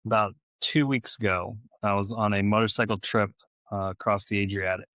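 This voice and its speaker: A man's voice: on the low side, little pitch movement, normal speed, bright, forceful tone, no echo. A man who grew up in Los Angeles, answering questions conversationally as an interview guest. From born in Ukraine, grew up in Los Angeles, United States. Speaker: podcast guest, real estate investor and former Navy officer.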